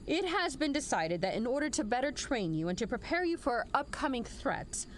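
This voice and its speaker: A high-voiced, animated commanding general. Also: female voice, moderate pace, slight background noise. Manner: formal, authoritative, dryly sarcastic. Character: brisk, commanding female general briefing her team. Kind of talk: audio drama acting.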